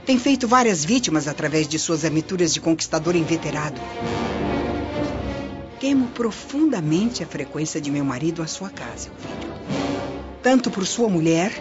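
A woman's voice: sits low, lively intonation, moderate pace. A woman acting a scheming, vengeful Roman noblewoman in a radio drama, with formal, theatrical, insinuating delivery. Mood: feigned anguish. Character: scheming vengeful Roman noblewoman, falsely confiding prefect's wife. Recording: muffled lo-fi recording under loud music.

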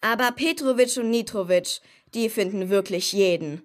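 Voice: mid-range voice, normal speed, natural voice. A young performer playing a chatty, cocky boy superhero who likes to explain things, in a children's radio play. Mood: excited, explaining. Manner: breezy, eager, explanatory, casual.

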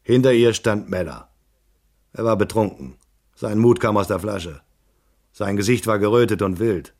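A tough male private eye telling his own story in a radio crime play. His voice is fairly low. The delivery is terse, laconic and matter-of-fact, in classic hard-boiled style. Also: normal speed, darkish tone. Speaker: first-person narrator.

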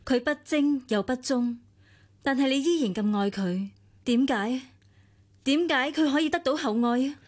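An actress with a Hong Kong Cantonese accent playing a poised, jealous longtime companion in a radio drama, earnest and pressing. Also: female voice, sits high, moderate pace, a little mellow.

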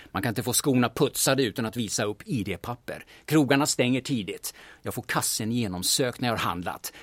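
An actor playing a brash, flirtatious man from the big city who talks in a smooth, cheeky, insinuating way in an audio drama. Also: mid-range voice, no echo, lightly acted. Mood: wry, pointed. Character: brash, flirtatious Stockholm man posing as auction-house buyer.

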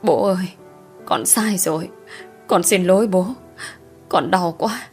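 A woman's voice: fairly low pitch, slow pace, faint music behind. A woman voicing a young village daughter who cries and begs her father for forgiveness. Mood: tearful pleading. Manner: tearful, begging.

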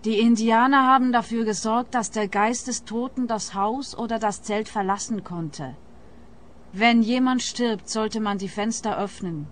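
A woman's voice: fairly high pitch, unhurried, natural voice. Actress doing naive young woman who believes in ghosts, dead serious, radio drama, bit of noise. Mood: earnest, eerie. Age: young adult. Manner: earnest, literal-minded. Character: earnest, superstitious young woman, gullible neighbor. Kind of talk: radio play acting.

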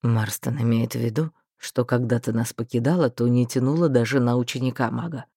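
A woman voicing a calm, authoritative male mage who speaks quietly and evenly. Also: rumbling low, breathy edge, no echo, studio quality, voice pushed down, heavily acted. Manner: level, quiet, measured.

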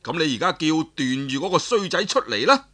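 Man playing a glib, bright-voiced scheming nephew. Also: a little high, big pitch swings, fairly quick, pitched above the performer's own voice, exaggerated voice. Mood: eager, flattering. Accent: Cantonese. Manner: glib, crude, ingratiating. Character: scheming, flattering young nephew, male.

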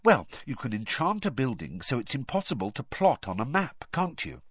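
A man's voice: mid-range voice, very animated, moderate pace, a bit bright, husky, no echo, highs cut off, pitched above the performer's own voice. A man voicing an earnest teenage schoolgirl in an audiobook, sniffy and matter-of-fact as she lectures. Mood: earnest, explaining. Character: earnest, know-it-all teenage schoolgirl, quick to indignation.

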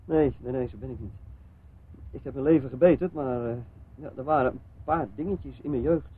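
A man's voice: medium pitch, brisk, natural voice. An actor playing a shifty, cheeky petty crook in a radio drama, wheedling and wisecracking as he protests his innocence. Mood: pleading, evasive. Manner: wheedling, evasive, wisecracking, stammering self-justification. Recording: muffled phone-like old radio play, noisy.

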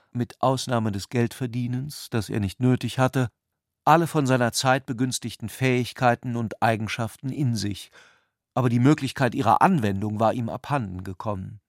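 A man's voice: medium pitch, no room sound, clean studio sound. A man reading scripted literary prose as the narrator of a radio adaptation.

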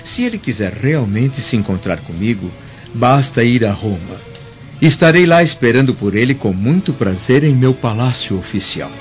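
A man plays a proud and wary Roman senator in a radio drama, with a Brazilian accent. He speaks formally and with command, on a muffled recording with soft music underneath. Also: medium pitch, animated, normal speed, natural voice. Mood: firm, defiant. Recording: muffled audio with music behind.

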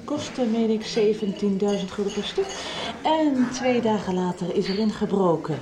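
An actress plays a protective, resolute woman in a radio soap. Her delivery is firm and pointed with a lively, moving pitch and a sardonic edge, while a crowd chatters behind her. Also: normal speed, roomy sound, natural voice, poor audio. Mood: cutting, determined. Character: resolute woman facing down a blackmailer, protective, cutting.

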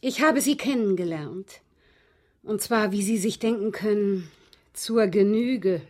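A woman's voice: medium pitch, unhurried, a little mellow, close to an everyday voice. A woman playing a genteel, unruffled widowed lady in a radio drama, politely and precisely confessing with cool amusement. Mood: coolly obliging. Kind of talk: German radio drama acting.

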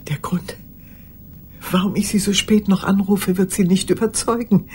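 An older woman acting in a radio drama monologue as a lonely old woman who speaks formally, haltingly and insistently. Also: mid-range voice, fairly even intonation, warm tone, slight background noise, close to an everyday voice. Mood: urgent, apologetic. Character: lonely old woman confiding memories to a young lawyer.

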